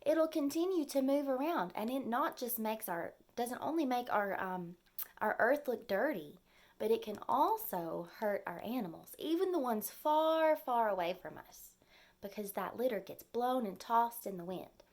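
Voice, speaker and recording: A woman's voice: fairly high pitch, animated, unhurried, darkish tone. A woman reading and explaining a children's story expressively, in simple phrases aimed at young listeners.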